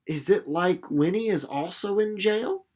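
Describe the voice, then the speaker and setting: A man giving casual commentary on a book as a teacher, informal and a little halting as he thinks aloud. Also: high-pitched, expressive, darkish tone. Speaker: reader and teacher. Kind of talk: storybook narration and casual literary commentary for students.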